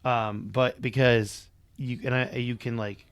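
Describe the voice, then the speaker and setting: A man's voice: medium pitch, flat delivery, measured pace, clear, resonant tone, no echo. A man co-hosting a podcast, chatting loosely and joking, cursing now and then, his voice a bit worn. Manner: loose and joking, sprinkled with swearing. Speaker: podcast co-host. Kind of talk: casual chat.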